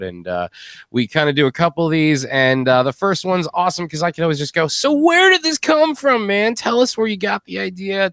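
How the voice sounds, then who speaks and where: A man's voice: medium pitch, a bit bright, smooth, no room sound, clean studio sound. A middle-aged man hosting a casual interview live stream, joking, teasing and drifting off on tangents.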